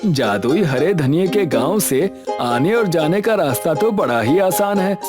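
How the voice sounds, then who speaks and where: Brief: actor voicing young cartoon vendor, sincere and wide-eyed, music bed behind. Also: male voice, fairly high pitch, animated, unhurried. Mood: amazed. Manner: earnest, wondering. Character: poor young male vegetable seller, earnest. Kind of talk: cartoon dub.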